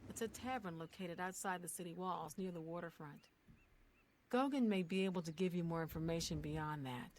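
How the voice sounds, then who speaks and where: A woman's voice: lowish voice, normal speed, a little mellow, close to an everyday voice. An actress playing an aggrieved, frank townswoman and wife in a fantasy video game. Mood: helpful. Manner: frank, rambling, exasperated. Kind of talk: video game dialogue acting.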